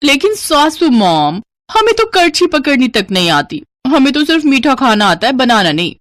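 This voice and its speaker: A brash young daughter-in-law acted out by a woman for a cartoon story. She is whiny and defiant, pitched high with animated swings. The sound is close and dry. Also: normal speed, no room sound. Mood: insolent, whiny. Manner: whiny, insolent, mixes in English slang.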